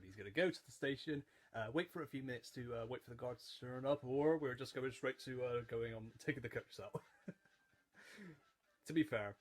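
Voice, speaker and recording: A twenty-year-old man giving casual rewatch commentary, rambling in stop-start phrases with repeated words and frequent chuckling. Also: medium pitch. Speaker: YouTube reviewer.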